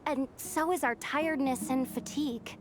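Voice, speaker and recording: A woman's voice: sits high, fairly even intonation, moderate pace, over music, natural voice. An actress playing an earnest, devoted young female employee in a video game, cute and eager to please.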